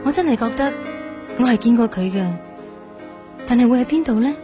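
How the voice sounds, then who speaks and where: A woman's voice: on the high side, fairly quick, over loud music, natural voice. An actress playing an earnest, flustered young woman in a radio drama, speaking Hong Kong Cantonese in a polite, insistent, talkative way. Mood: puzzled, wondering.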